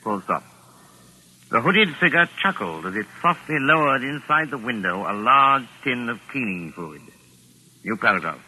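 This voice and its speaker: An actor playing a pompous, cocky crime novelist with a theatrical, declamatory manner in a 1940s radio mystery. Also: male voice, mid-range voice, very animated, moderate pace, bright, forceful tone, exaggerated voice, rough recording. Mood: pompous, brisk. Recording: vintage 1940s radio broadcast.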